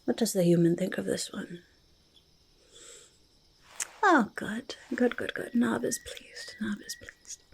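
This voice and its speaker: A woman voicing a curious, friendly forest goblin in a soft ASMR roleplay, speaking haltingly in breathy whispers and creaky murmurs, repeating words. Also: mid-range voice, heavily acted. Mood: pleased, eager. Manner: halting, third-person speech with doubled words. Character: curious, eager, friendly forest goblin who hoards treasure.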